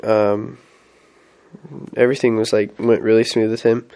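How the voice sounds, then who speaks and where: A man's voice: fairly low pitch, little pitch movement, moderate pace, darkish tone, clean tone. Rambling young man with a head cold. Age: young adult. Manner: rambling, many fillers and restarts. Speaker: missionary. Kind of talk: casual weekly update vlog.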